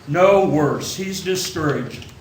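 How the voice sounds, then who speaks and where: A man in a radio play acting a calm, authoritative senior angel who tells the story in measured tones. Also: fairly high pitch, slight background noise. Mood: calm, instructive. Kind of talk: stage radio play narration. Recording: echoey theatre, faint music behind.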